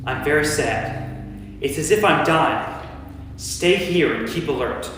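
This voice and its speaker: A man's voice: fairly high pitch, animated, takes it slowly, noisy background. A man playing an anguished yet resolute Jesus in a staged church reading of scripture, praying and rebuking. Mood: anguished, pleading. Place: church, recorded from a distance. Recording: very echoey church, distant mic, long reverb.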